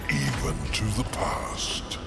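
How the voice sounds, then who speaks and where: An actor playing an imperious, cunning demon lord in a video game, deliberate and menacing, with a deep gravelly voice. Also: male voice, over loud music, natural voice. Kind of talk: video game cutscene acting.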